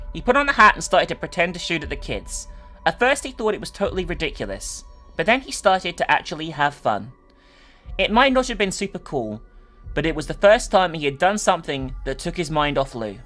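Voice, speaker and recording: An online host giving a dramatic reading of a horror story, with animated, sweary commentary. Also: medium pitch. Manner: animated, sweary, incredulous in asides.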